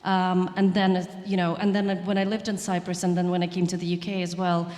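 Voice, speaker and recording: A woman from Ukraine, a panel guest, speaking earnestly in halting conversational talk with many fillers and restarts. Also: lowish voice, flat delivery, darkish tone. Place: event hall. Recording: long hall reverb.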